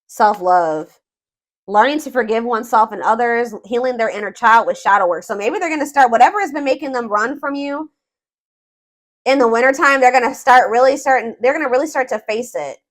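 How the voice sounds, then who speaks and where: A woman's voice: fairly high pitch, fairly quick. A woman reading tarot for viewers in a casual, informal way, thinking aloud as she goes, with emphatic points. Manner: informal, conversational, searching for words aloud, emphatic. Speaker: tarot reader, host.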